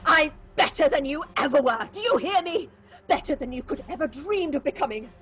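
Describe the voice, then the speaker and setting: A woman acting in an audio horror drama as a proud, haunted theatre director, husky and commanding in a clipped, theatrical way. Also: high-pitched, expressive, fairly quick, a bit bright. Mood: defiant. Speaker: actress.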